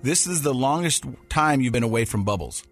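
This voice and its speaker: A man's voice: medium pitch, animated, brisk, soft background music. A middle-aged man co-hosting a casual podcast from a studio, ribbing his co-host in a playful way.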